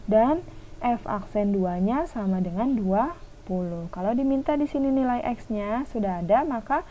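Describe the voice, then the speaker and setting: A woman's voice: fairly high pitch, animated, a little slow, highs cut off, a little hiss or hum. A woman teacher talking through a math lesson step by step, asking questions and answering them herself. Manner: patient, asks and answers her own questions.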